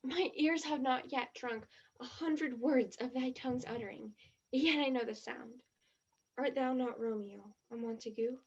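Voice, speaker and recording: A woman's voice: high voice, unhurried, a little mellow, husky, full character voice. A woman acting a naive, earnest, dreamy young romantic in a stage play, with a slightly muffled sound.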